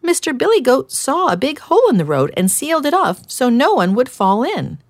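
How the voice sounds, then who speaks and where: A woman voicing a gentle, hopeful male hedgehog in a storybook reading, soft and tentative. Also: high voice, huge pitch swings, a little fast, no echo, pitched above the performer's own voice, exaggerated voice.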